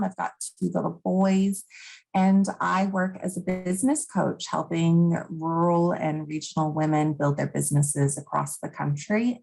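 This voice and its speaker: A woman in her thirties speaks with a Texan accent worn down by years in Australia. Her voice sits low with a gravelly fry, and she chats in a friendly, folksy way as a podcast guest. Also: a little mellow, rough recording. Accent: Texan, softened by years in Australia. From Texas. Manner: friendly, folksy, laughs easily. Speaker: business coach, podcast guest. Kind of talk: casual interview chat. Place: family farm.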